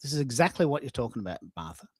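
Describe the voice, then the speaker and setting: A man's voice: medium pitch, very animated, racing, darkish tone, slightly rough, no room sound, clean studio sound. A man hosting a live online show in casual, emphatic talk, often repeating his phrases for stress.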